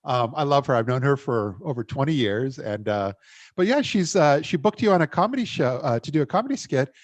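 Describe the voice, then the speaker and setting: A man's voice: medium pitch, lively intonation, fairly quick, dry recording. A man hosting an interview show, chatting enthusiastically with many fillers. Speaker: show host. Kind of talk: casual interview chat.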